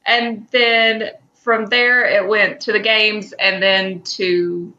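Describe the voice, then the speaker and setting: A woman's voice: medium pitch, level tone, slow, sharp tone. A woman co-hosting a podcast in casual, conversational banter, on a slightly dull, lo-fi recording. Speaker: podcast co-host.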